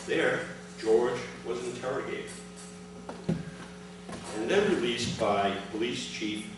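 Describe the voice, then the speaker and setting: Middle-aged male speaker, lowish voice with lively swings in pitch, reading a formal address deliberately. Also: far from the mic. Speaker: speaker reading his father's prepared account. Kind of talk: formal prepared speech read aloud at a ceremony. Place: large indoor room, addressing a gathering. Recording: echoey, noisy hall recording from afar.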